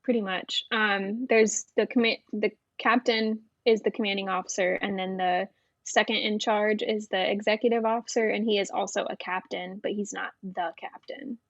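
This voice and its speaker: A woman's voice: mid-range voice, level tone, moderate pace, dry recording, highs cut off. A woman, a Navy veteran, chatting frankly and casually as a podcast guest, with many fillers. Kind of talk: casual interview chat.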